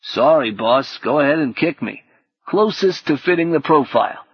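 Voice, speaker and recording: Man as a wry, offhand husky AI sidekick. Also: on the high side, lively intonation, normal speed, no echo, lo-fi sound, voice pushed up, exaggerated voice. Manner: casual, clipped, deferential wisecracking. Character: wry, streetwise software assistant, loyal and offhand.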